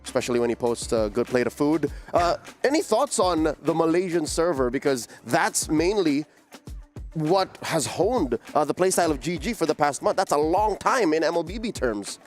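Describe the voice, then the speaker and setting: A man's voice: fairly high pitch, lively intonation, moderate pace, faint music behind. A man hosting an esports panel, casual and chatty, with teasing asides. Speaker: esports desk host.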